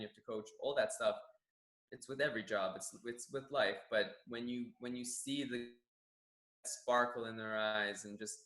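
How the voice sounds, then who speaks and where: A young man from Canada speaks earnestly about his work, often restarting his sentences as he thinks. His voice is bright and forward at a middle pitch, and it rings in an echoey room. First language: Farsi. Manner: earnest, reflective, with frequent restarts. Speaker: gym general manager. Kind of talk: staff profile interview answers.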